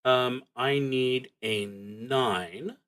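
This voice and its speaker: A man narrating his solo tabletop roleplaying game, thinking aloud in a halting, musing way and trailing off mid-thought. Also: medium pitch, fairly bright tone.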